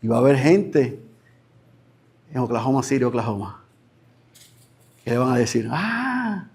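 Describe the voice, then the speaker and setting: Animated pastor preaching. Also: male voice, medium pitch, a little slow, breathy edge. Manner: animated preaching, acts out imagined voices. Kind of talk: sermon.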